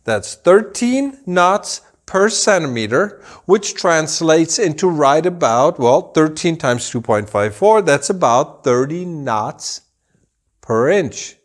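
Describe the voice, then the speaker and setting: A man in his forties, raised in Germany, a rug dealer explaining things to camera in a showroom, slow and deliberate with gestures. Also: a little high, expressive. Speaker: rug dealer and channel host. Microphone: camera at a few meters, full body framing.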